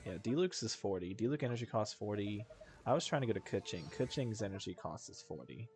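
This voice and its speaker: A man's voice: on the low side, animated, brisk, a little mellow, soft background music. A man giving casual gameplay commentary, rambling and thinking aloud as he plays. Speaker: video game streamer.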